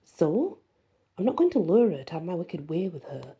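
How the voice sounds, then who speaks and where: A woman's voice: on the low side, very animated, moderate pace, warm tone, slightly rough, full character voice. A woman voicing a cocky, mischievous young male spellcaster in a fantasy story, with a teasing, flippant delivery. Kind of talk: fantasy audiobook dialogue.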